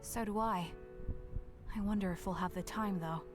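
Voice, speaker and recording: A woman playing a reserved, caring young female fighter in a video game, speaking in a measured, matter-of-fact way. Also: mid-range voice, close to an everyday voice. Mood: quietly reflective. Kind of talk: English video game cutscene voice acting. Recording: game audio with background music.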